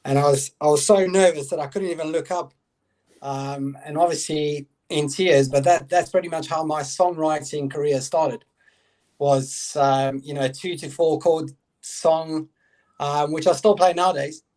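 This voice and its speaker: A man's voice: fairly high pitch, fairly even intonation, a little slow, a little mellow. A man, a guitarist and songwriter, telling his story hesitantly with many "um"s in a casual podcast chat. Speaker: band guitarist and songwriter, guest.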